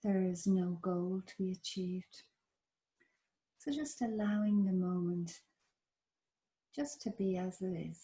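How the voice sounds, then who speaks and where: A woman with an Irish accent leading a guided body scan meditation in a slow, soft, soothing manner. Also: fairly low pitch. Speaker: meditation teacher.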